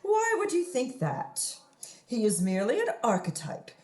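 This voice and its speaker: A woman's voice: on the high side, wildly swooping pitch, moderate pace, a little mellow. A woman playing a scheming, prim widow in a radio play, with a florid, sanctimonious and affected delivery. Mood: flustered, wistful. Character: scheming widow posing as prim, melodramatic moral crusader. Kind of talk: theatrical radio play acting.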